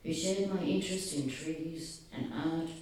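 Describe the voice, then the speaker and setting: A soft-spoken man giving an earnest, halting public talk, often pausing. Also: on the high side, slight background noise. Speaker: speaker at a gathering. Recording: very echoey, distant, faint ambience.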